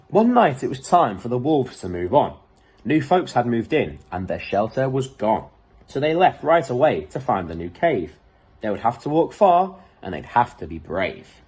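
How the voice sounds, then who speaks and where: A man reading a children's picture book aloud in an expressive, dramatic way. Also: lowish voice, husky. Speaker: storybook reader.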